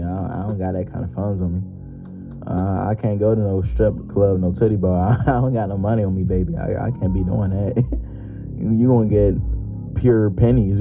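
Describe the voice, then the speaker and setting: A 21-year-old man hosting his own podcast, talking loosely and jokingly with lots of slang and fillers. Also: fairly low pitch. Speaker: podcast host. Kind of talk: casual solo podcast monologue. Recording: muffled lo-fi with music behind.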